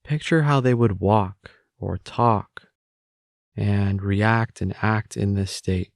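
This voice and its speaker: Man, meditation guide, gravelly low voice, calm gentle instruction, very dry close recording. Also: slow pace, dry recording.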